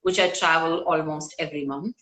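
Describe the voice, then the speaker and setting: A woman from India, a guest doctor speaking in a measured, polite way in a live online interview over a video call. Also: sits low, fairly even intonation, fairly bright tone, rough recording.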